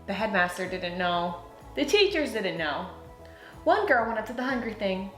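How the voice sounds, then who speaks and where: Expressive woman reading a children's story. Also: mid-range voice, moderate pace. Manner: expressive, clearly phrased. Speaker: read-aloud host. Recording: roomy sound, music underneath.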